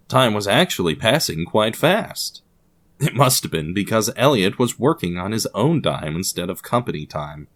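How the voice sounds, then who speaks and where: A man narrating scripted fiction in a wry, ominous, formal manner, addressing the listener directly. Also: lowish voice.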